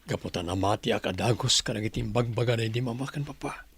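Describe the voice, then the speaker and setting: A man with an Ilocano accent playing a remorseful, pleading husband in a radio drama, his voice husky. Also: medium pitch, fast talker. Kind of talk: Filipino radio drama acting.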